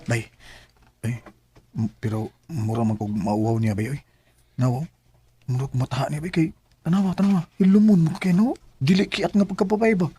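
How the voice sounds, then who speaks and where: A man's voice: a little high, fairly even intonation, normal speed, long pauses, mellow, slight background noise, lightly acted. An actor playing a smitten, playful young ladies' man in a Bisaya radio drama, with a Filipino accent. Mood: shy, smitten.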